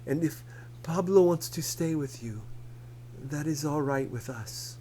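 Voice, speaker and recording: An earnest village aunt read by a man makes a measured plea in an audiobook. The voice is raised a little and carefully persuasive. A faint hum sits behind it. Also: on the high side, mellow, close to an everyday voice. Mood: tearful, resigned.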